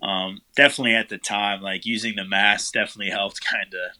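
A man's voice: fairly low pitch, quick pace. A man, the guest vocalist, answering interview questions casually, with lots of fillers and loose rambling. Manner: relaxed, rambling, many fillers.

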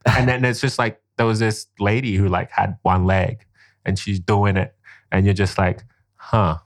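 A man's voice: fairly low pitch, little pitch movement, a little slow, dry recording, studio quality. A young man from Liberia, raised in Guinea, telling stories in a rambling, casual podcast chat with lots of fillers. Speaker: podcast guest.

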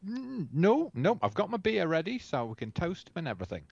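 A man co-hosting a rally podcast, rambling and hesitant with many fillers, but animated. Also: high-pitched, fairly quick, creaky, no room sound, muffled recording. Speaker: podcast co-host. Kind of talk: casual podcast chat.

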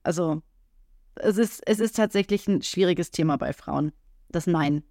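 A woman's voice: on the low side, measured pace, mellow, no echo, clean studio sound. A woman co-hosting a casual talk podcast who speaks frankly and earthily, with plenty of filler sounds. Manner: frank and earthy, many "ähm" fillers, acts out quoted lines. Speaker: podcast co-host.

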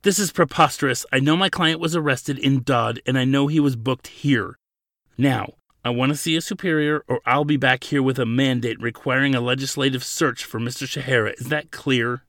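A man's voice: medium pitch, steady tone, moderate pace, a bit bright, no room sound, studio quality, close to an everyday voice. A man voicing a stern female android who poses as legal counsel, speaking in an authoritative, insistent way for an audiobook. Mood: stern, confident.

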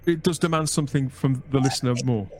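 A man's voice: mid-range voice, fast, a little mellow, soft background music. A man hosting a podcast, asking inquisitive follow-up questions. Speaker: podcast host. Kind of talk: interview questions.

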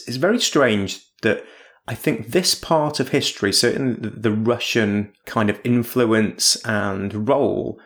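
Man, host, lowish voice, easy laughter, chatty questioning. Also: fairly bright tone. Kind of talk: podcast interview questions.

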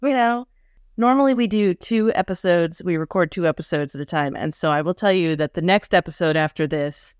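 A woman's voice: fairly low pitch, brisk, clear, resonant tone, no echo, clean studio sound. A woman co-hosting a casual podcast chat, talkative, laughing often and quoting herself in little asides. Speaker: podcast co-host.

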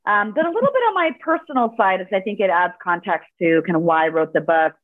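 A woman's voice: mid-range voice, expressive, quick pace, no echo. A woman in her forties, a podcast guest who grew up speaking Spanish, telling her story in an upbeat, fluent way with a few fillers. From Los Angeles, United States. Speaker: podcast guest, corporate lawyer and author. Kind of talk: interview conversation. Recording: dry, phone-like narrow sound.

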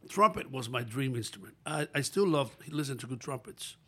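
A man's voice: mid-range voice, fairly quick, breathy edge. Older dominican-born guy, chill short answers, interview vibe. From Dominican Republic. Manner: relaxed, plain-spoken, short answers. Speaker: guest musician. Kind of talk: casual interview.

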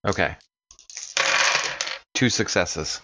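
A man's voice: deep voice, drawn-out words, lo-fi sound, heavy background noise. An American man chatting casually as a player in a tabletop roleplaying game.

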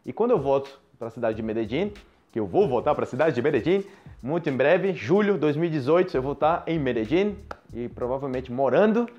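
A man's voice: mid-range voice, lively intonation, fairly quick, darkish tone, highs cut off. A Brazilian man with a Brazilian Portuguese accent, teaching Portuguese to foreigners in a chatty, repetitive way, talking straight to his viewers. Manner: chatty, repeats himself, addresses viewers directly. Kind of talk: casual teaching monologue.

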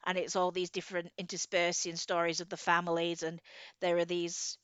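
A woman's voice: on the low side, completely flat delivery, fairly quick, a bit bright, clean tone, no echo, lo-fi sound. A middle-aged woman chatting casually about books as a podcast co-host, enthusiastic and self-deprecating. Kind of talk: casual book chat.

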